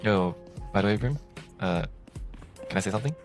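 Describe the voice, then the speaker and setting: Fast, excitable male gamer on stream. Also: fairly low pitch, over music. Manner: excitable and flirty, fast and jumpy. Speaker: game streamer in a played clip. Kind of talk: casual chat during a game stream.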